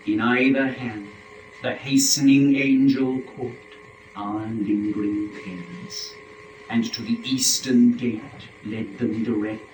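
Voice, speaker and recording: A man narrating epic verse as a dramatic reading, with a solemn, measured, declamatory delivery. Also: lowish voice. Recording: echoey room, faint noise.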